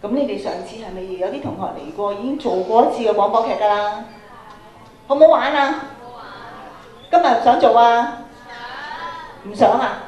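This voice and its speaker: A woman's voice: fairly high pitch, moderate pace, long pauses, dull audio, slight background noise. A middle-aged woman with a Hong Kong Cantonese accent speaks as a workshop presenter. She addresses a student audience through a handheld microphone, often asking questions. Her voice rings with the echo of a large auditorium. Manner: animated questioning, projecting to an audience. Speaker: workshop speaker, radio programme producer. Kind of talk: interactive lecture to students. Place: large school hall, on stage. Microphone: handheld microphone through PA, camera at a distance.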